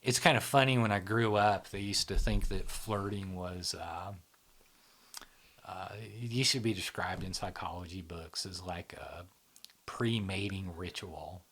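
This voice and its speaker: A man's voice: low-pitched, a little slow, fairly bright tone. A middle-aged man hosting a casual talk, explaining at length with many fillers like "right?" and "you know". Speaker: show founder and host.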